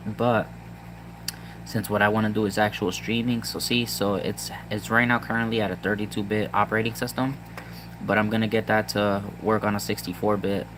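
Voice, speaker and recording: Puerto rican guy rambling about gadgets, lots of ums, low monotone. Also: background noise. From Puerto Rico. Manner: halting, many ums and restarts. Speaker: tech vlogger. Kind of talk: casual rambling tech talk to viewers.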